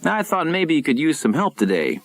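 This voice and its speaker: A man playing an easygoing, friendly fellow eager to help, casual and lively, in an audiobook. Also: a little high, fairly quick, voice pushed up.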